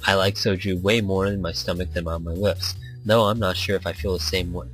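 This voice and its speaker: A man with a fairly low voice narrates a story game over background music. His read-aloud is informal, with the odd stumble that he corrects and carries on from. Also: fairly even intonation, fairly quick. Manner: informal, stumbles over lines and rereads them. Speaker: narrator reading a visual novel.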